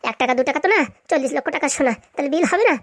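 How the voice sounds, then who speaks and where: A woman's voice: sits high, racing, no room sound, clean studio sound, close to an everyday voice. A woman voicing an indignant, sarcastic villager in a comic cartoon, argumentative and long-winded. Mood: defiant. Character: cheeky, indignant villager accused of theft, talking back.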